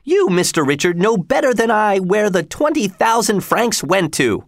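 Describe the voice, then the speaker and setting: A proud older theater lady, played by a male narrator, speaks high and swooping. She is emphatic and easily flustered, like a character from a storybook reading, in a dry close recording. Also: big pitch swings, measured pace, dry recording. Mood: indignant, shocked. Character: indignant older French woman, proud theater attendant.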